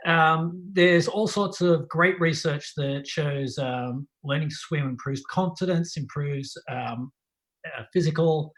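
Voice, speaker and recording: A man's voice: on the high side, fairly bright tone. An Australian-accented man giving informal public comment at a meeting.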